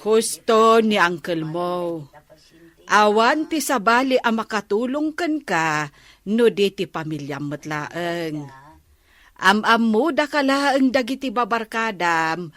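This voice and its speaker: An actress playing an earnest, advising village woman in a Filipino radio comedy drama, measured, with an Ilocano accent. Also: mid-range voice, lively intonation, a bit bright.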